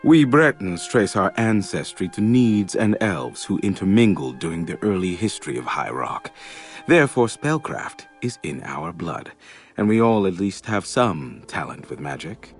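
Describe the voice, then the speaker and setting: A man's voice: deep voice, big pitch swings, normal speed, soft background music. A man playing a proud human of magical lineage, explaining formally in fantasy game dialogue.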